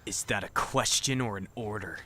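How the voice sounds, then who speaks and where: An actor as an abrasive male survivor in an apocalypse drama. His voice is bright and hard-edged, sneering and sarcastic, with a short temper. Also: fairly low pitch, moderate pace. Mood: sardonic. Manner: biting, sneering. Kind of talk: scripted audio drama acting.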